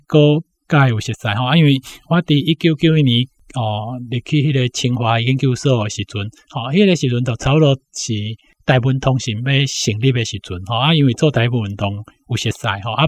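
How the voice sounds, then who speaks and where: Taiwanese man in his sixties, reminiscing informally. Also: medium pitch. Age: 60s. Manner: frequent tag particles as punctuation. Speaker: interview guest, university academic. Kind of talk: informal personal recollection. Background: background music.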